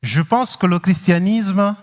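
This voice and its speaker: A man from Cape Verde with a Cape Verdean accent, French not his first language, preaching as a guest pastor, halting with many pauses and speaking straight to the congregation. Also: high-pitched, long reverb tail. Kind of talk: sermon. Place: large church hall.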